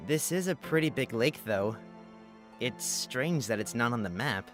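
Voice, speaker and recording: A woman's voice: a bass voice, animated, unhurried, faint music behind, pitched below the performer's own voice. A woman voicing an easygoing, earnest young male orphan traveller in a visual novel, plainspoken and reassuring. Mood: quiet wonder.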